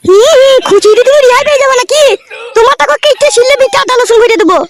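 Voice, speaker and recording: Screechy high cartoon wife, nags and cusses super fast. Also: female voice, heavy background noise. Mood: threatening. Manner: shrill, scolding, abusive. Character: shrill, foul-mouthed, scolding young wife, cartoon character. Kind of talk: cartoon comedy dialogue.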